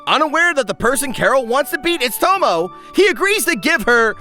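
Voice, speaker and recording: A man's voice: very high voice, big pitch swings, a little fast, pushed and bright, faint music behind. A man narrating a scripted comedic anime review in a wry, exaggerated, joking way. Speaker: video essay narrator.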